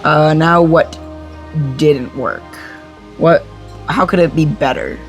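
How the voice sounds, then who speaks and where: A man's voice: fairly high pitch, takes it slowly, creaky, soft background music. A young male co-host of a game review show, speaking casually with informal, hesitant phrasing.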